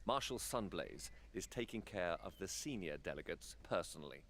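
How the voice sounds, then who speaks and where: A stately council lord from a fantasy game. His voice is deep, and he speaks formally and guardedly, like a statesman carrying heavy worries. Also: animated, normal speed, fairly bright tone, a little hiss or hum. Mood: guarded, weary. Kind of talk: fantasy video game cutscene acting.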